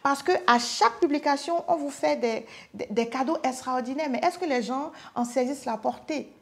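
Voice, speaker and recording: A woman's voice: high voice, moderate pace, vocal fry. A woman podcast guest explaining in an enthusiastic, conversational way, with rhetorical questions and repeated words.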